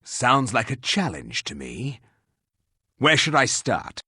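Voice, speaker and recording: An actor playing a proud, grim resurrected warrior in a fantasy game, speaking formally and curtly with a sardonic edge. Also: male voice, mid-range voice, huge pitch swings, takes it slowly, pushed and bright, husky, no echo, studio quality, exaggerated voice.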